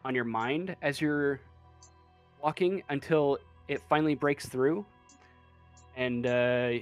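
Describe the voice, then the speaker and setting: A man with a medium-pitched voice narrates a tabletop game as game master. He goes slowly and hesitantly, hunting for words, with faint music underneath.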